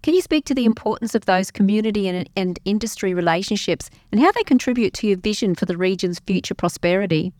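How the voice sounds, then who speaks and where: A woman's voice: medium pitch, quick pace, few pauses, a little mellow, dry recording, clean studio sound. A woman presenting community radio, reading prepared interview questions in a friendly manner. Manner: friendly, clearly scripted questions.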